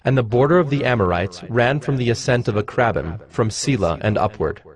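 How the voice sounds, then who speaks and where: A man narrating scripture from a script in a measured, formal manner. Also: mid-range voice, animated. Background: music.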